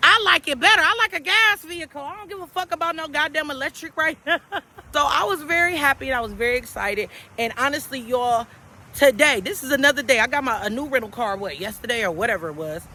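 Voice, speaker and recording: Loud, high, animated woman vlogger. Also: quick pace, a little hiss or hum. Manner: animated, slangy, swears freely, talks straight to viewers. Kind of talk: casual motivational vlog. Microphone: camera without microphone.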